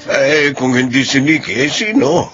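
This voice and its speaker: A man's voice: mid-range voice, expressive, unhurried, a bit bright. A man playing an inquisitive middle-aged father in a radio drama, probing and exclamatory, teasing and incredulous. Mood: surprised, incredulous. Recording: dull lo-fi audio, faint music behind.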